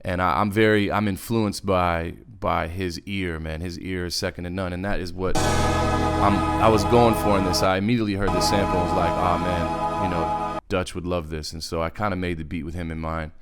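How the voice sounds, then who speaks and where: A man's voice: low-pitched, steady tone, moderate pace. A man giving a casual music production tutorial in laid-back slang, rambling off into asides as he goes. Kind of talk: casual screen-recorded tutorial. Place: indoors, voice-over on a screen recording. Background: music from the software.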